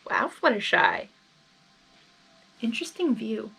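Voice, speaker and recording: Woman, high voice, lively intonation, story narration, casual expressive asides, music in background. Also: slow, gravelly, rough recording. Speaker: reader hosting a fan fiction reading.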